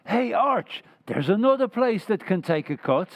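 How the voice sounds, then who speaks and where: An actor playing a dim-witted, slow-talking barfly in a 1940s-style radio comedy. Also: male voice, high-pitched, sing-song. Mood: dopey. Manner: slow, dopey drawl.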